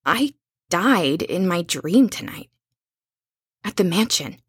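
A woman acting an anxious, resolute young woman in an audio horror drama, speaking in a husky, earnest, urgent hush. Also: fairly low pitch, big pitch swings, takes it slowly, dry recording, studio quality, full character voice. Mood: haunted.

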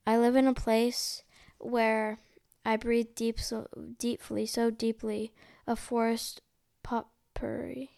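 A woman hosting a read-along, reading a picture book aloud in a halting way, repeating and stumbling over some words. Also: fairly high pitch, little pitch movement, gravelly, a little mellow.

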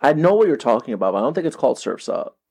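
A man in his thirties co-hosts a casual podcast, chatting and asking a string of questions. His voice is somewhat husky and rises and falls a lot. He sounds at ease. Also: medium pitch, sing-song, racing, dark tone. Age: 30s. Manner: curious, keeps asking questions. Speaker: podcast co-host. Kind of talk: casual chat.

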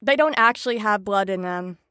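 A woman's voice: a little fast, fairly bright tone, no room sound, clean studio sound, close to an everyday voice. A woman playing a peppy but frazzled, sarcastic supervisor of patients in an audio drama. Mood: brisk. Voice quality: high-pitched. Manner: perky, folksy, exasperated when challenged.

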